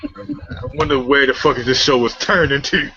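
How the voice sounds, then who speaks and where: A man's voice: mid-range voice, expressive, normal speed, crowd noise. A man co-hosting a podcast with casual, profane banter. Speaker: podcast co-host. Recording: dull lo-fi audio.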